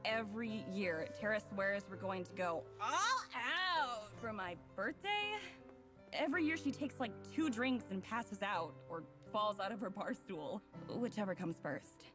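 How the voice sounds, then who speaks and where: A woman's voice: mid-range voice, expressive, unhurried, close to an everyday voice. An actress playing a reflective, wry young woman who narrates her own story in a visual novel. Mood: wry, amused. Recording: music playing clearly underneath.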